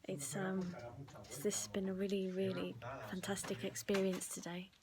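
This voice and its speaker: A soft-spoken, breathy woman giving casual behind-the-scenes vlog narration, often dropping into a whisper. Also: medium pitch, little pitch movement, normal speed, a crowd in the background. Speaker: ASMR vlogger and host.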